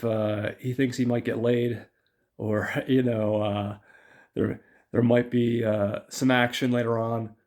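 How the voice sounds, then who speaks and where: Guy with a rough raspy voice from being sick, rambling casual film podcast, lots of ums. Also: mid-range voice, a little mellow. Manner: rambling with many ums and uhs, talks to listeners as guys. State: raspy voice from illness. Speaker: podcast host.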